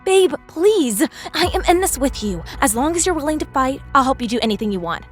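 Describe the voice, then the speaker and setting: High voiced loyal bestie manager lady, bit of a schemer, audio drama w music. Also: big pitch swings, brisk. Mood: supportive, conspiratorial. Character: loyal, scheming young woman manager and friend. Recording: music behind the voice.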